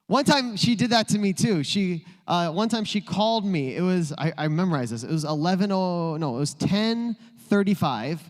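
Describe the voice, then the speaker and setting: Male pastor, sermon, playful joking storyteller, high voice, long room reverb. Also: expressive, moderate pace, few pauses.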